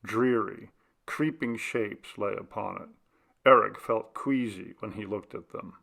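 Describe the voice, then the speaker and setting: A man reading a literary short story aloud as narration, with a gravelly voice. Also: fairly low pitch, unhurried, fairly bright tone. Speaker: audiobook reader.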